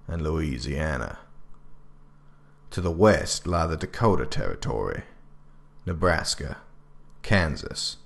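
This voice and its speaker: A grave, commanding government official narrates a nineteenth-century handbook in an audio drama. His voice is extremely deep and gravelly, with swooping, oratorical emphasis and formal, measured phrasing. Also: full character voice. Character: grave, authoritative male director writing a 19th-century government handbook.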